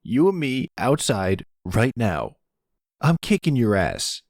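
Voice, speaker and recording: Man playing whiny, hot-headed young son, raised pitch. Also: very animated, slow pace, darkish tone, no echo, studio quality, full character voice. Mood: indignant, angry. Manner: whiny, defiant, stammering when rattled. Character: hot-headed, panicky young adult son in mob trouble. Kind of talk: audio roleplay acting.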